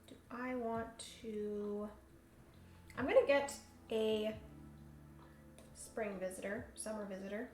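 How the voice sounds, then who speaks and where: A woman's voice: on the high side, slow, pauses often, a little mellow. A woman hosting a solo board game livestream, chatty, thinking aloud and laughing often. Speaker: host. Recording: roomy room echo, faint hum.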